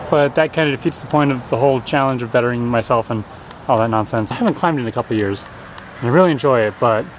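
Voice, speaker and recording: A man's voice: medium pitch, noisy background. A young man talking casually to the camera in a video diary. He is chatty and pokes fun at himself. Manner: chatty, self-deprecating, laughs at himself. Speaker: vlogger.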